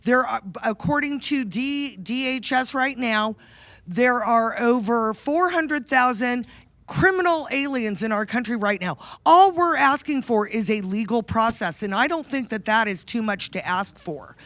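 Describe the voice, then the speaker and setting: A woman presses her case insistently, hammering her numbers home by repeating them. Her pitch is middling, and the sound is dry and close. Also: measured pace, a bit bright, no echo. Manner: insistent, repeats figures for emphasis. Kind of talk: heated debate.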